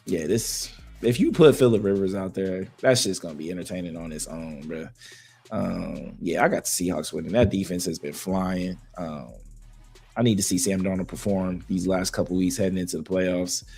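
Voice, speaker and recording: Hoarse low-voiced man with a gravelly rasp. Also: moderate pace, soft background music. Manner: loose, slangy, animated banter with fillers. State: losing his voice. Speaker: podcast co-host. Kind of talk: casual sports talk.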